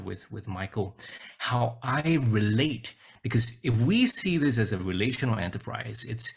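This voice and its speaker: Singapore-raised man, webinar co-presenter; gravelly and husky, halting, restarts words. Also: on the low side. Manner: hesitant, repeats words, thinks aloud. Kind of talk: casual webinar talk. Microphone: video call.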